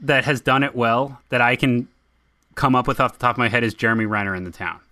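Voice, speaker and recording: A man's voice: mid-range voice, steady tone, quick pace, sharp tone. A man with a Boston accent, co-hosting a film podcast and making his points emphatically. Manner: emphatic, opinionated. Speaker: podcast co-host. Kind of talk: casual film discussion.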